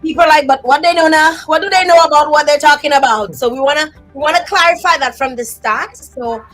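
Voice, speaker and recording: A woman hosting a live online talk show, speaking in an expressive, thankful way over a video call. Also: high-pitched, a little fast, no echo, faint music behind. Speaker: host.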